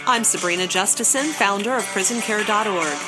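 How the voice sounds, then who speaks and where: A woman's voice: medium pitch, a little fast, loud music behind the voice, rough recording. A woman hosting a podcast in a conversational, emphatic way, drawing out key words. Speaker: podcast host. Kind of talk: hosted podcast intro and phone interview.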